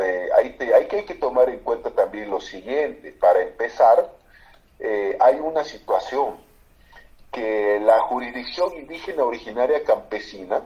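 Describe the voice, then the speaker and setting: A man's voice: mid-range voice, highs cut off. A man from Bolivia, a lawyer, explaining constitutional law emphatically on a political talk show. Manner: emphatic, explanatory, repeats for stress.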